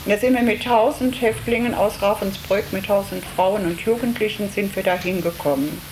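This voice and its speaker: An older woman speaks as a witness, recounting her own memories in plain, halting phrases and repeating words for emphasis. Her pitch is average, and a faint crackling noise sits on the recording. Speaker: camp survivor giving testimony. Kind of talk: spoken eyewitness recollection. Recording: noisy interview with crackling hiss.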